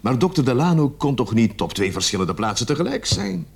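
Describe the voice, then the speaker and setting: An actor playing a weary, grumpy police sergeant in a radio play, talking in a gruff, grumbling manner. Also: male voice, medium pitch, big pitch swings, brisk, full character voice. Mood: weary, irritated.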